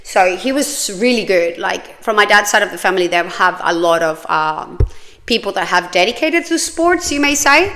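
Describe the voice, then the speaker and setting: A woman's voice: mid-range voice, animated, moderate pace, sharp tone. A woman, chatting casually and telling her own life story with plenty of fillers. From Australia. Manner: chatty, many fillers, laughs often.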